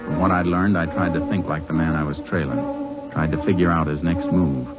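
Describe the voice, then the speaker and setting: An actor playing a laconic, shrewd Texas Ranger in an old-time radio western, with an American accent and terse, folksy cowboy talk. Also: male voice, a bass voice, fairly even intonation, brisk. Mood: terse, determined. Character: laconic Texas Ranger, shrewd, steady lawman posing as drifter. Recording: muffled vintage radio recording, music behind.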